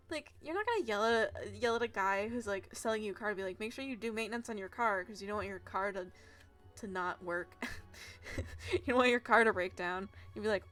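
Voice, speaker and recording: A woman's voice: on the high side, fairly bright tone. A woman game streamer giving casual, chatty gameplay commentary with informal, rambling asides. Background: video game music.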